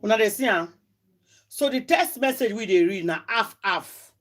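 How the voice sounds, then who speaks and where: A man with a Nigerian Pidgin accent, loudly scolding and shouting warnings. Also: high-pitched, expressive, moderate pace, voice pushed up.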